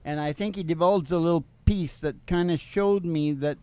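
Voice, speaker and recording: A man, probably middle-aged, talking as a radio show host in casual, irreverent comic banter, rambling and halting as he goes. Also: a little high, warm tone, clean tone, no room sound.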